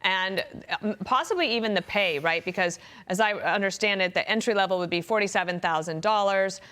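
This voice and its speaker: A woman's voice: mid-range voice, brisk, fairly bright tone. An American woman anchoring live news, introducing items and putting questions to a guest. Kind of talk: live news presenting and interviewing.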